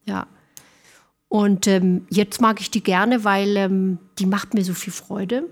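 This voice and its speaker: A middle-aged woman, a singer, talks thoughtfully in a casual interview with frequent pauses and restarts. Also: mid-range voice, level tone. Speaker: guest singer.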